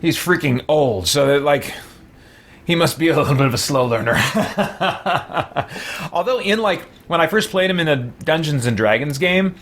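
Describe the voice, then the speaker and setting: A man's voice: fairly high pitch, expressive, normal speed, a bit bright. Casual man musing aloud while gaming. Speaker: gaming streamer, host. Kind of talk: casual let's-play chat.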